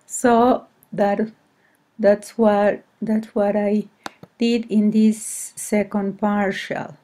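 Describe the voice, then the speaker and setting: A woman teacher with a Mexican accent, presenting to colleagues in a hesitant, halting way and often correcting herself. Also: mid-range voice, flat delivery, mellow. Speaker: English teacher. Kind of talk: screen-recorded presentation to colleagues.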